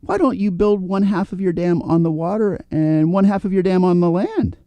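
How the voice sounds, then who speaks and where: A man voicing a kind, curious young male rabbit in a children's storybook, with a gentle, earnest manner. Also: high voice, moderate pace, no room sound, pitched above the performer's own voice. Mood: encouraging.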